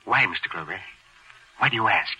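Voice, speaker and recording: A man playing a fussy, excitable, gossipy shop owner with a fluttery, theatrical manner in a 1940s radio crime drama. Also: on the low side, fairly quick, husky, full character voice, poor audio. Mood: gossipy, prying. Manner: fluttery, theatrical, whining, giggly.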